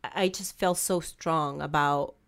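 A woman in her forties speaks with a strong Argentine Spanish accent in a notably low voice. She talks haltingly as a podcast guest, often restarting her sentences and laughing softly between thoughts. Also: steady tone, smooth. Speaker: guest, business founder. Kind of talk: conversational interview.

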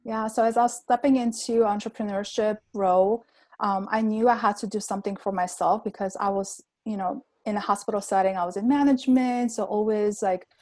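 A woman's voice: mid-range voice, steady tone, brisk, a little mellow, no echo. A woman in her thirties from Armenia, telling her story earnestly as a podcast guest with many ums and likes. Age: 30s. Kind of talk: casual interview talk.

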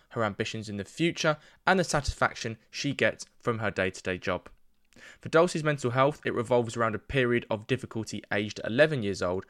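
A man's voice: medium pitch, a bit bright, no echo, studio quality. A man hosting a podcast, reading his intro and then interviewing a guest in a friendly, informal way. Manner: friendly, informal, calls guest "pal" and "mate". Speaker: podcast host.

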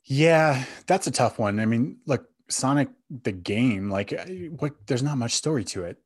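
A man's voice: mid-range voice, expressive, a little slow, husky, dry recording, clean studio sound. A man hosting a podcast in casual chat about entertainment, speaking in a hesitant, think-aloud way. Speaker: podcast host.

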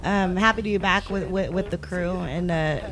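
A woman's voice: on the low side, moderate pace, fairly bright tone, rough recording. A woman from the Bay Area co-hosting a casual podcast, nasal and stuffed up from a cold, teasing and easygoing. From San Francisco Bay Area. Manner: casual, teasing, laughs often. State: has a cold. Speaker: podcast co-host. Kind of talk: casual chat over drinks.

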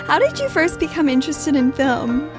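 A woman's voice: sits high, sing-song, moderate pace, over music. A woman hosting an interview podcast, giving scripted introductions and asking her guest questions.

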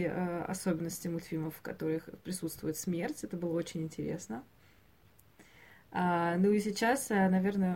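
Female podcast host, lower register, unscripted and hesitant, discussion style. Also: a little mellow. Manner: hesitant, searching for words.

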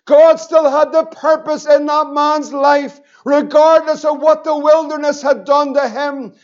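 High-voiced Northern Irish pastor preaching fervently. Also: male voice, level tone, highs cut off. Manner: fervent preaching, repeating phrases, slowing for emphasis. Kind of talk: sermon.

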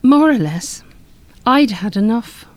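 A woman's voice: on the high side, expressive, takes it slowly, a little mellow. An actress playing a forthright, defiant married woman in a radio drama. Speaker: radio play actor. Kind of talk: live radio drama acting.

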